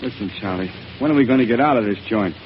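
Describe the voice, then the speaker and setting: A man's voice: fairly low pitch, quick pace, natural voice. A man playing a jittery ex-con henchman. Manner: tough-guy slang, jittery. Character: nervous male ex-convict henchman, scared and restless. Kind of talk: 1930s radio drama acting. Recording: dull old radio broadcast with hiss.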